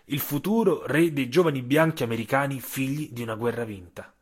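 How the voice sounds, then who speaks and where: Man, husky voice, mid-pitched, scripted story narration, theatrical emphasis, deliberate pauses. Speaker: author and narrator.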